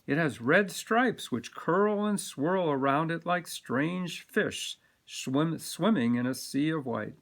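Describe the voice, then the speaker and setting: Male volunteer storyteller, bright voice, lively pitch movement, expressive read-aloud for small kids. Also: slow pace, hardly any pauses.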